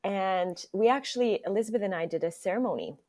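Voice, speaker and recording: A woman's voice: mid-range voice, expressive, quick pace, mellow. A young woman from Nigeria, a podcast guest, chatting openly and easily about herself. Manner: open, chatty, laughs easily. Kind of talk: casual interview chat.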